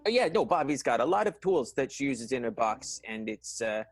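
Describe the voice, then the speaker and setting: A man's voice: mid-range voice, big pitch swings, a little fast, faint music behind. A man plays an apologetic theater staffer in an improvised radio play, tripping over his words as he fumbles for excuses. His voice sits in a plain middle range. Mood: flustered.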